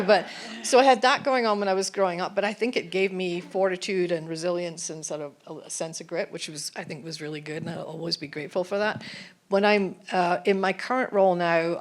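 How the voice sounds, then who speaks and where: A woman, a corporate executive, speaking earnestly on a festival panel. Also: fairly low pitch. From Scotland. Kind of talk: panel discussion.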